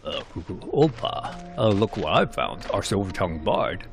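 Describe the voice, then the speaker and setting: A man's voice: low-pitched, big pitch swings, moderate pace, vocal fry, heavily acted. A man voicing a blunt, sardonic conspirator in a fantasy game, speaking urgently in archaic phrases. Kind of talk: amateur narrated fantasy game cutscenes. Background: music.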